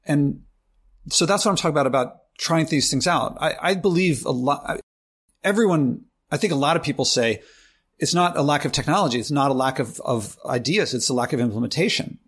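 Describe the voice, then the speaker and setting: A man hosting a podcast interview, talking in a halting way with frequent restarts. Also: fairly high pitch, lively intonation, a bit bright, slightly rough. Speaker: podcast host. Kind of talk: conversational interview.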